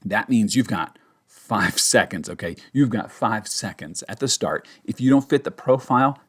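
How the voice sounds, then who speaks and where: A man with a fairly low voice talks through a voice-acting tutorial. He is conversational and emphatic, explaining casually and showing by example as he goes. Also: animated, moderate pace, breathy edge. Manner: emphatic, conversational, checks in with "okay?". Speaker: voice actor giving a tutorial. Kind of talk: casual instructional talk with demonstrations.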